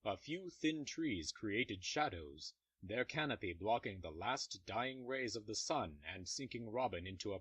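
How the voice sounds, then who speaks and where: Low-voiced male story narrator. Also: a bit bright, breathy edge, dry recording. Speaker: narrator of fan audio drama.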